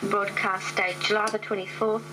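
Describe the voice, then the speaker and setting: A woman presenting a scripted health programme in a measured, gently explaining way. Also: mid-range voice, flat delivery. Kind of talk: scripted broadcast narration. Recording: loud hiss from old cassette.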